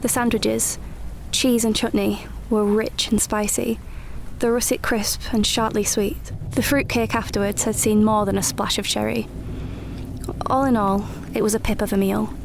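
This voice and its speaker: An actress playing a guarded, independent young Edwardian woman, narrating a radio play in a measured, intimate, literary way. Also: mid-range voice, little pitch movement, creaky, slight background noise, lightly acted. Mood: cosy, reflective. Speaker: narrator and lead actor. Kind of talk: scripted first-person radio play narration.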